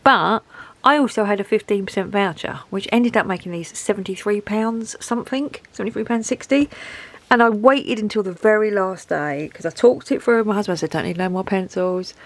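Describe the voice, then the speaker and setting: A woman's voice: medium pitch, brisk. A woman with a head cold and a dry throat, chatting in a rambling, self-deprecating way as she shows off a craft haul at home. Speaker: craft vlogger showing a haul. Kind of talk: casual chat to camera.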